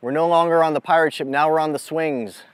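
A man speaking as a mental toughness coach, giving a deliberate, instructive motivational lesson to a group out in the open. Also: on the high side, steady tone, clean tone, no room sound. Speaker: mental toughness coach leading a youth clinic. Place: outdoors on an amphitheater lawn.

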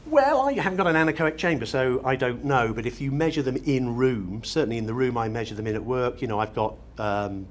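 British man, middle-aged, medium pitch, articulate interviewee, ornate vocabulary, faint show-room noise. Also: expressive, brisk, few pauses. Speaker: loudspeaker designer being interviewed. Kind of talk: unscripted interview explanation. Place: large glass-roofed exhibition show room. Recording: noisy exhibition room ambience.

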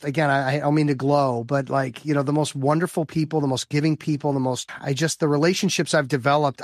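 A man's voice: mid-range voice, dry recording, clean studio sound. A man in his fifties from the Chicago area, a business coach answering interview questions in an enthusiastic, upbeat way, often repeating words as he builds a point. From Chicago area, US Midwest. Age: 50s. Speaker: guest, business development coach for lawyers.

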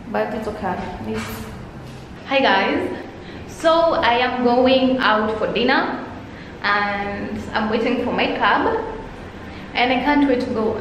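A woman's voice: on the high side, echoey room, big-room sound, low-quality recording. A young woman vlogging casually to a handheld camera held at arm's length, chatty and rambling, often restarting her phrases. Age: young adult. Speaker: travel vlogger. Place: hotel rooms, a car and outdoors. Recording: handheld camera audio with background noise.

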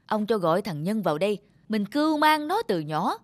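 A woman's voice: medium pitch, big pitch swings, normal speed, no echo, close to an everyday voice. A woman voicing a shrewd, cautious middle-aged landlord's wife in a cartoon, firm and advising. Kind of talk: cartoon dialogue.

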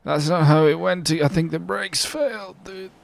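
A man with a gravelly voice chatting casually and slangily while playing a roleplay game. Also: on the high side, moderate pace. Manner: slangy, says dude and baby often. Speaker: gaming video host, roleplay player. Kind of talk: casual gameplay roleplay chat. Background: car game sounds.

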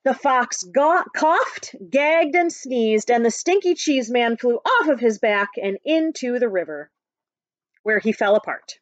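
Woman reading a kids picture book, high expressive voice, dry but muffled webcam audio. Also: unhurried, no echo. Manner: expressive storytelling. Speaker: school staff reading to pupils. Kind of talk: picture book read-aloud for children. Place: small book-lined room at home. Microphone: webcam at arm's length. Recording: dull, lo-fi webcam sound.

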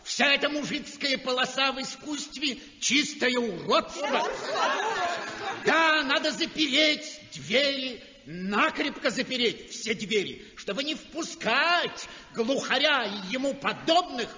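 An actor playing a snobbish, indignant conservative critic with a pompous, scornful manner in a 1960s radio drama. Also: male voice, very high voice, lively intonation, measured pace, pushed and bright, a little hiss or hum, full character voice. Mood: scornful outrage. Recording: muffled old radio recording, hall echo, crowd.

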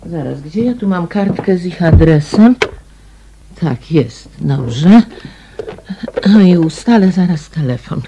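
An actress playing a polite woman newly moved in, in a radio drama, unhurried and often repeating words as if thinking aloud. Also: lowish voice, lively intonation, background noise. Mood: puzzled.

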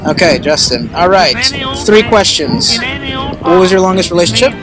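A young man runs a brash, teasing interview out in a sunny park, swearing freely as he grills strangers about dating. His voice sits a touch high, picked up by a camera a few metres away. Loud music plays under the talk. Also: expressive, moderate pace. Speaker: street interview host. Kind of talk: blunt, joking dating interview. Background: background music.

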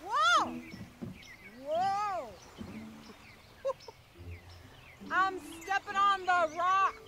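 Casting: tipsy, boisterous wife, radio drama. Very high, drawn-out hollering. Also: female voice, expressive, pushed and bright, a little hiss or hum, heavily acted. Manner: whooping, slow drunken calling. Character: drunken, boisterous, carefree wife hollering outdoors. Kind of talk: period radio drama, calling out.

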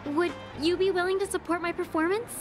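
A sweet young singer, very high and courteous. She speaks gently with slight hesitations, as a video game townsperson, over clearly audible music. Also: fairly even intonation, fairly quick. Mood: delighted, grateful. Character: sweet, polite young female street singer. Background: background music.

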